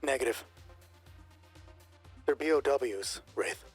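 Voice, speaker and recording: A man playing a calm, professional military squadmate in a tabletop game, speaking in clipped, terse phrases. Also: medium pitch, slow pace, slightly rough, soft background music. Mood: calm, quiet.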